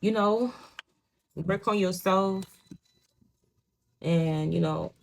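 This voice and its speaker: A woman's voice: lowish voice, extremely slow, vocal fry. A young woman with a Haitian Creole accent, a native Creole speaker, giving a casual tarot reading in blunt, profane talk full of "you know what I'm saying". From Haitian home. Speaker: tarot reader.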